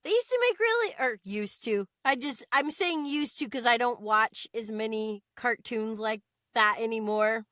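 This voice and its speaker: An American woman chatting casually as an interview guest, expressive and chatty. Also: a little high, normal speed, few pauses, no room sound, clean studio sound. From United States.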